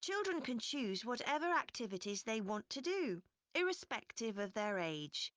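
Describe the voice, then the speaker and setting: A woman playing a park representative giving a measured, scripted informational presentation to visitors. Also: on the high side, sing-song, a bit bright, dry recording. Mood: informative. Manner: measured, clearly explanatory. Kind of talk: scripted informational presentation, listening test recording.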